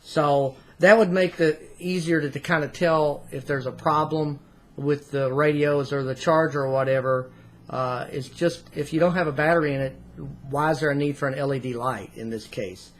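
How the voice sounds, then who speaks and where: Higher-pitched man, casual product reviewer. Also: steady tone, normal speed. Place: small indoor room at home.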